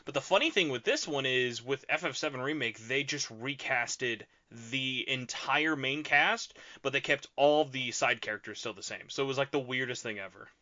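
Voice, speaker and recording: A man's voice: medium pitch, normal speed, hardly any pauses, bright, forceful tone. A man hosting a podcast, asking long rambling questions full of fillers. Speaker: podcast host. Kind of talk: casual podcast interview. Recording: dull lo-fi podcast audio.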